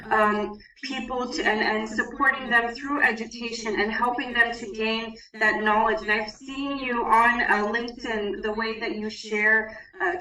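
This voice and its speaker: An effusive woman hosts an online panel in a bright, fairly high voice, feeling her way haltingly through her sentences. The sound is strongly echoey, as if from a roomy space on a meeting mic. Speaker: panel moderator, host. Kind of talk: unscripted hosting of online panel. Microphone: video call. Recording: echoey room, distant online meeting microphone.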